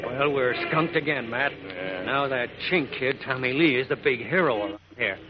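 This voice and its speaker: A man's voice: mid-range voice, moderate pace, close to an everyday voice. An actor playing a sour, resentful henchman, grumbling in 1940s radio style. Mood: bitter. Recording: muffled noisy vintage radio.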